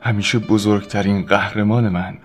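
A man's voice: on the low side, fairly even intonation, over music. A man giving a sentimental scripted reading in the style of a radio tribute.

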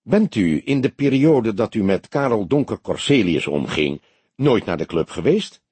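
A man reading an audiobook, playing a patient, wry old police detective who questions in a measured, probing, dryly mocking way. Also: lowish voice, big pitch swings, a little mellow, husky, dry recording. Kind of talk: audiobook character dialogue.

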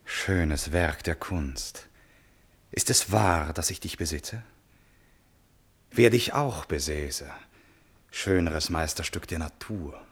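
An actor playing an impulsive, self-absorbed prince in love, in a classic German radio play, with theatrical exclamations and musing asides. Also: male voice, extremely low pitch, takes it slowly, long pauses, slightly rough, exaggerated voice. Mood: enraptured.

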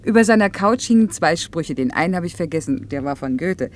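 A middle-aged woman playing a harried, strict working mother in a naturalistic German radio play, with brisk, clipped delivery. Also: on the low side, animated, a little hiss or hum, natural voice. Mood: reflective.

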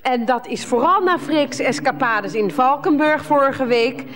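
A woman's voice: high voice, unhurried. A woman playing a long-suffering middle-aged breadwinner wife in a radio play, speaking with dry, wordy sarcasm. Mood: sardonic, aggrieved.

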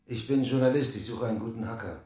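An actor playing a probing, insistent newspaper journalist with a husky voice in a radio play. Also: male voice, mid-range voice, quick pace, mellow. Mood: persuasive, low-key.

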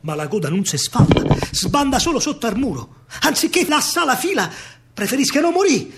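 A man with a Roman accent narrating dramatic verse on stage, declamatory, with pauses and drawn-out words. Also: very high-pitched, sing-song, fairly bright tone, slight background noise. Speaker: actor-storyteller in a solo stage show.

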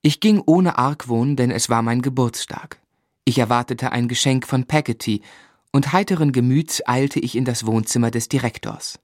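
An actor playing a melancholy man who narrates his sorrowful boyhood in measured, literary style. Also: mid-range voice, no echo, studio quality, close to an everyday voice. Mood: reflective, melancholy. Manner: measured, reflective.

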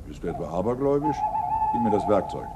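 An actor playing a weary, dogged middle-aged police inspector in a radio crime play, dry and laconic in manner. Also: male voice, deep voice, big pitch swings, fast, heavy background noise. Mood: determined. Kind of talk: German radio play acting.

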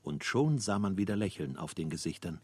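An actor playing an earnest, proud factory worker who narrates in a literary radio play, with a husky voice. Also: male voice, low voice, lively intonation, a little fast, darkish tone, no room sound.

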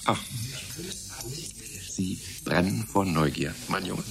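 An actor playing a smug, genial older gentleman who savours his words, in a German radio drama. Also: sits low, creaky, music in the background, full character voice. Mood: secretive, savouring. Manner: drawn-out, savouring, avuncular.

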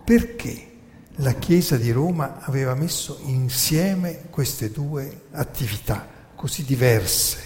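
A man's voice: medium pitch, lively intonation, darkish tone, long reverb tail, slight background noise. Man narrating history on radio. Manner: measured, rhetorical questions. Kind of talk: lecture-style history talk.

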